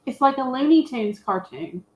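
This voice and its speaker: A woman chatting casually as a film panelist, in a halting, unpolished way. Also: high voice, animated. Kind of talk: casual chat. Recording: roomy, echoing sound.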